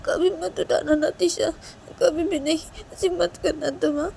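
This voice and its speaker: Storytelling role: hurt, clinging young woman played by a woman, high whimpering voice, repetitive pleading, light noise behind. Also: fairly even intonation, unhurried, vocal fry, a little mellow, heavily acted. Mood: tearful pleading.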